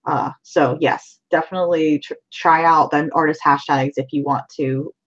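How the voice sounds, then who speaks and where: A woman's voice: low-pitched, steady tone, moderate pace, fairly bright tone, lo-fi sound. A woman artist chatting casually on a livestream, talkative and easy-going. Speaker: artist, co-host.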